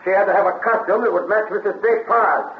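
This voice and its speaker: An actor in a 1940s radio play voicing a wry police captain who questions suspects in a sly, teasing drawl. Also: male voice, sits high, quick pace, slight background noise, close to an everyday voice. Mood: probing. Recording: tinny, echoey 1940s radio broadcast.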